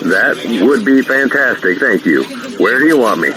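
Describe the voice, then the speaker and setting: An actor playing a calm, modest veteran airline captain talking over the radio in an audio drama, plain-spoken and measured. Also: male voice, mid-range voice, music in the background, close to an everyday voice. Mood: shaken but composed. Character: calm veteran airline captain who retook his hijacked plane, modest. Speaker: airline pilot. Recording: noisy cockpit radio transmission.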